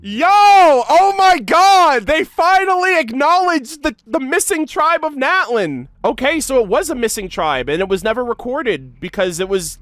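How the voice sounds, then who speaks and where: A man giving excitable, slangy reaction commentary as a gaming creator, mixing in read-aloud game lore. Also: extremely high pitch, measured pace, few pauses, no echo, soft background music. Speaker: gaming content creator, host.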